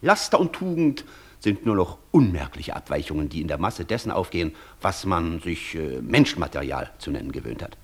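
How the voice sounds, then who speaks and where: An actor playing an intense, persuasive professor in a German radio play, declaiming and lecturing insistently with a husky voice. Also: male voice, low voice, big pitch swings, normal speed, full character voice. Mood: fervent, grave. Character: intense, persuasive male professor tempting the Devil. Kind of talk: German radio play acting, long rhetorical speeches.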